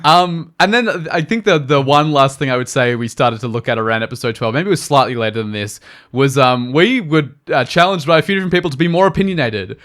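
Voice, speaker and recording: A man's voice: mid-range voice, fast talker, fairly bright tone. A man, co-hosting a podcast and sharing practical tips in a chatty, emphatic way. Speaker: podcast co-host and audio editor.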